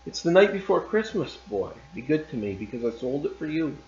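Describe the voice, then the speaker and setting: A man's voice: a little high, very animated, fairly quick, darkish tone, a little hiss or hum. A man voicing a young loving wife, speaking in an earnest, pleading way. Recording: roomy, slightly dull recording.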